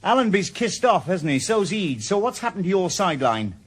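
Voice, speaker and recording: A man's voice: high voice, sing-song, fairly quick, slightly rough, no echo, faint music behind, exaggerated voice. A middle-aged man with a Welsh accent, playing a stubborn, sardonic retired detective with a dry, probing manner in a radio drama.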